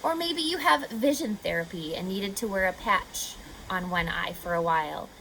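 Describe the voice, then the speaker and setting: A woman reading a picture book aloud to children like a teacher, expressively, pausing to explain and ask questions. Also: mid-range voice, slight background noise, poor audio. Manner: expressive, instructive, asking questions of young listeners.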